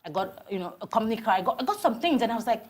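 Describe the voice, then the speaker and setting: A Nigerian woman in her forties, a TV interview guest telling animated stories about her career with many restarts. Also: a little high, racing. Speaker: guest, HR consultant. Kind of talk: TV interview conversation.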